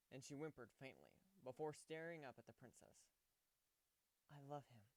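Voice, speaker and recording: Casting: male narrator for fantasy story readings, very expressive, clean smooth tone, dry close sound. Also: mid-range voice, dry recording, rough recording. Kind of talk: dramatic fan fiction reading, scripted narration.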